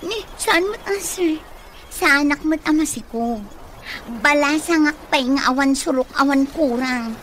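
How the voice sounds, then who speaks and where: A vain, whiny young woman played by an actress speaks in a high voice at a leisurely, drawn-out pace. It is haughty radio comedy acting, with faint noise behind it. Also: fairly bright tone, rough recording. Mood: offended. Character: vain young office woman smitten with her boss.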